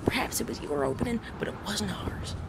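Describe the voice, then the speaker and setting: A sardonic gentleman outlaw from a western audio drama, acted by a woman. The voice is gravelly and articulate, smoothly persuasive in manner. Clear noise runs behind it. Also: a little high, a little fast. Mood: cool, persuasive. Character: suave, sardonic gentleman bandit of the Wild West.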